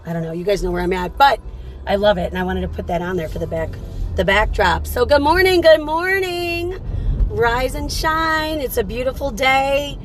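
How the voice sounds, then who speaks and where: A woman hosting a casual morning livestream, chatty and upbeat, her voice slightly raspy from a cold. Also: fairly high pitch, lively intonation, normal speed. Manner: upbeat, chatty, greets viewers by name. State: fighting off a cold. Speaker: livestream host.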